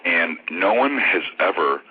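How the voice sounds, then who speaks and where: A man with a low voice and a head cold, a franchise consultant chatting as a guest on a phone interview. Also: a little hiss or hum. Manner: relaxed, chatty, halting. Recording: tinny phone line on radio.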